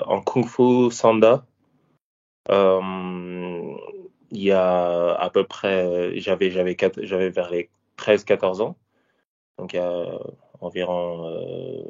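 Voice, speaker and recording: A man in his thirties from Paris talks through his fighting career in a very deep voice. He speaks casually and haltingly, often restarting and filling pauses. The audio is dull and lo-fi. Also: flat delivery, vocal fry, darkish tone. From France, Paris. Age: 30s. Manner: hesitant, many fillers and restarts. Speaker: former MMA fighter and coach. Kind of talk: casual interview answers.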